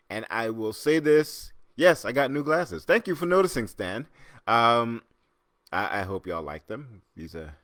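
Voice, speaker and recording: A middle-aged man from Trinidad talks loosely to his livestream viewers from home, his voice sitting on the lower side and recorded close and dry. He rambles, restarts his sentences and stops to greet commenters by name. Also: fairly bright tone, dry recording. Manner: rambling, chatty, many restarts and fillers, reads comments aloud. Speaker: host. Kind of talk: casual livestream chat. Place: home in New York City.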